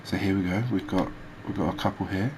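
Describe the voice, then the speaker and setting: A man hosting a gaming video, giving soft ASMR commentary in a halting, hesitant manner. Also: low-pitched. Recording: steady background noise.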